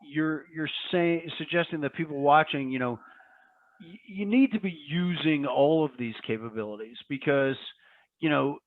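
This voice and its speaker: A man co-presenting in a conversational discussion, with halting, reflective phrasing. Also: on the high side, no room sound. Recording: dry, thin phone-like line.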